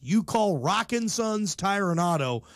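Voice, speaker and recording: High-pitched American sports radio guy. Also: expressive, a little slow, fairly bright tone, no room sound, clean studio sound. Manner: conversational, opinionated, slangy, emphatic repetition. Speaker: sports talk radio host. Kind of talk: casual sports radio commentary. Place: radio show.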